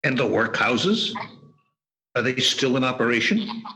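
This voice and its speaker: A man acts out a sour old miser of a radio play. The voice is bright and hard-edged, clipped with scorn. He cuts people off curtly, as a tightfisted man of business who has no use for good cheer. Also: medium pitch, big pitch swings, a little slow, heavily acted, poor audio. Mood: coldly sarcastic. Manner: curt, scornful, cutting. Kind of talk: amateur radio play acting. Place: performed remotely over a video call. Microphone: video call.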